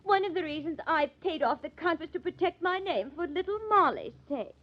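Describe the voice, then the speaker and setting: High-pitched, indignant glamorous murder suspect. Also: female voice, lively intonation, brisk. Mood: defiant. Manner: indignant, dramatic, emphatic. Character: defensive glamorous actress suspected of murder, sharp-tempered. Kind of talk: 1950s radio mystery acting. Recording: muffled lo-fi old radio recording.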